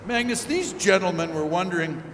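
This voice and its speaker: High-pitched seasoned ship's captain played by a man. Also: animated, fairly quick, a bit bright. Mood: practical, cautionary. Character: seasoned lake steamer captain, gruff and practical. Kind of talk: old-time radio drama acting. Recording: echoey hall with background noise.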